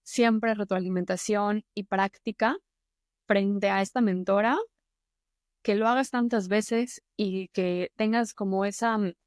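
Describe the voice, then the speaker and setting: Mexican-accented woman, mid-pitched, encouraging mentor tone, dry close sound. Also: steady tone, a little slow, clear, resonant tone, no echo. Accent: Mexican Spanish. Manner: earnest, encouraging, conversational. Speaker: YouTube host and public-speaking mentor. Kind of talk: motivational advice to camera.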